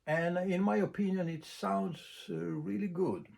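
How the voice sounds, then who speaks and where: A man's voice: high voice, fairly even intonation, creaky, darkish tone. A man who is not a native English speaker gives an informal, unscripted technical explanation with frequent hesitations. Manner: halting, unscripted, with long hesitations. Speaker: presenter explaining audio equipment.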